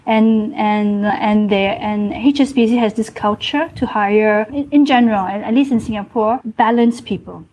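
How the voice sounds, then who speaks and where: A woman's voice: mid-range voice, moderate pace, hardly any pauses, darkish tone, slight background noise, rough recording. A woman being interviewed in a casual conversation, often repeating and restarting her words as she talks. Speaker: guest.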